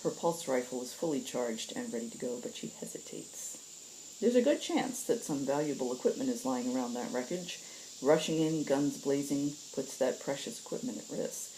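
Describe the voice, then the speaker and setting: A woman hosting a story reading, moving between casual talk and expressive narration, with insects behind her. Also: rumbling low, moderate pace, slight background noise, poor audio. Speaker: host reading stories aloud.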